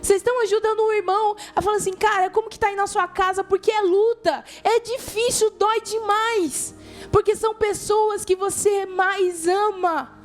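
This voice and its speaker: A Brazilian woman with a notably high voice gives an informal youth sermon. She talks colloquially and conversationally, addressing listeners directly and voicing dialogue as she goes, with music faintly behind her. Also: fairly even intonation, normal speed. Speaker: youth church preacher.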